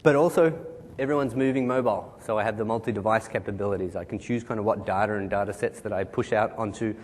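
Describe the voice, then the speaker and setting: A man presenting at a tech conference on a large stage, talking quickly and informally while losing his voice. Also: darkish tone. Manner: quick, informal, kind-of fillers. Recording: hall reverb, faint rumble.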